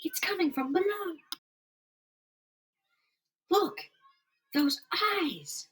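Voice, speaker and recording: A man voicing a spirited young superhero woman in a comic-book drama, breathy, anxious and melodramatic. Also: very high voice, very animated, drawn-out words, voice pushed up, exaggerated voice. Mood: alarmed.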